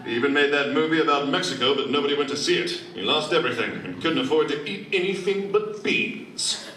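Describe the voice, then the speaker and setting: A man's voice: fairly high pitch, animated, fairly quick, bright, forceful tone. A man playing a brash, bumbling reporter with old-fashioned slang in a comic 1940s-style radio play, recorded from afar in a roomy hall. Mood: excited explaining. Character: cocky, bumbling, slangy reporter who is secretly a masked hero. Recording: roomy convention hall, distant camera.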